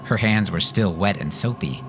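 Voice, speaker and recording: A man's voice: low-pitched, normal speed, noisy background. A man narrating scripted fiction dramatically, as an audiobook narrator.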